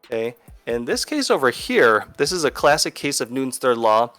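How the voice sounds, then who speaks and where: A man narrates an educational video as a teacher. His voice sits at a middle pitch and is recorded close and dry, with faint music behind it. He explains things conversationally, one step at a time. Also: big pitch swings, moderate pace, fairly bright tone, no echo. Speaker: physics teacher.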